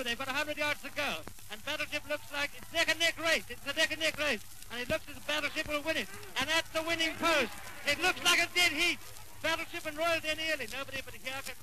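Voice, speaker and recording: An excited, urgent man calling a horse race in the style of 1930s live radio. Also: very high-pitched, fast, low-quality recording. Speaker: horse racing commentator.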